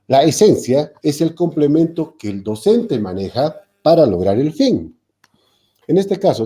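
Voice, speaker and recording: A man's voice: mid-range voice, dark tone. A man, a technical institute lecturer explaining online teaching in a panel talk, hesitating with frequent fillers and self-corrections over a video call.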